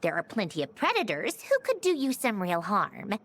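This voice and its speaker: A woman's voice: medium pitch, huge pitch swings, normal speed, fairly bright tone. An actress playing a matter-of-fact young woman inventor in a video game. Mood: brisk, advising. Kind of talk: animated video game voice acting. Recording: faint music behind.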